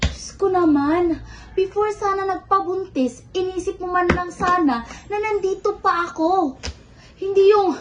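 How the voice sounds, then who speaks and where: A woman's voice: extremely high pitch, measured pace. A Filipino woman playing a rebellious student, the younger sister in a stage drama, with a theatrical, exasperated, sarcastic delivery. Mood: hurt, angry. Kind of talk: amateur one-act stage play acting in Filipino. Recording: faint background noise.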